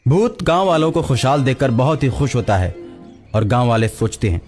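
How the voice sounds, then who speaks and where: A man's voice: mid-range voice, big pitch swings, brisk, darkish tone, faint music behind. A man narrating a scripted cartoon story as the storyteller.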